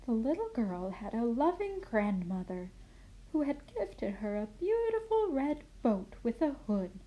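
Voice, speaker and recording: A woman playing a kindly, muddled grandmother in an expressive children's storybook reading. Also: fairly high pitch, measured pace, muffled recording. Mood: earnest storytelling. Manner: expressive storybook reading with puzzled self-corrections. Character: kindly, muddled grandmother misreading fairy tale aloud.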